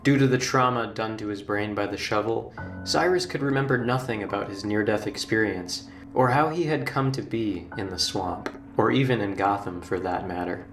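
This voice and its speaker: A man narrating a scripted horror documentary in a measured, formal storytelling manner. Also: lowish voice. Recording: roomy, distant playback with music. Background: background music.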